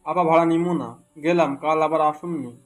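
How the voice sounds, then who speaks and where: A woman's voice: low-pitched, normal speed, soft background music, pitched below the performer's own voice, lightly acted. A woman voicing a cheerful, rustic young rickshaw driver with a Bangladeshi accent in an audio story. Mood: friendly, casual.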